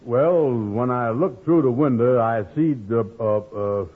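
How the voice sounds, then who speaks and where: An actor playing a slow, bumbling, evasive man in a 1950s radio comedy, stammering in folksy, ungrammatical dialect. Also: medium pitch, narrow, tinny audio, lightly acted. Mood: flustered, hesitant. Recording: thin, band-limited old radio recording.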